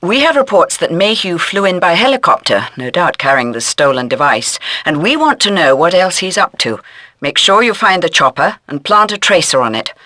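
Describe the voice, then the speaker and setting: An actress playing a stern intelligence handler giving crisp, formal mission briefings in a video game. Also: female voice, fairly low pitch, sing-song, moderate pace, pushed and bright, no room sound. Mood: stern, businesslike. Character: stern, businesslike intelligence handler directing a secret agent.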